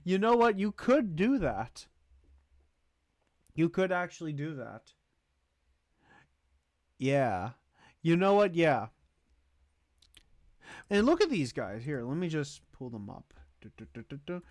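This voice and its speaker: A man giving casual livestream commentary as host, thinking aloud in a halting way as he ranks things. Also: fairly high pitch, sing-song, darkish tone, dry recording, clean studio sound. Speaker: streamer hosting a movie tier list.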